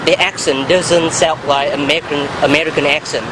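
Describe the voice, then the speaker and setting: A man with a Vietnamese accent asking questions in halting, simple English in a casual street interview. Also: a little high, steady tone. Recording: loud street and traffic noise.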